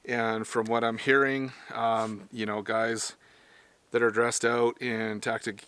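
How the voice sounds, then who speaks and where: A man with a hoarse voice from smoke, talking casually to camera about gear he sells, rambling and correcting himself as he goes. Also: medium pitch, level tone, slow, a bit bright, no room sound. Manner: rambling, self-correcting, plain-spoken. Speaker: firearms gear seller and trainer. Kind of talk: casual product talk to camera.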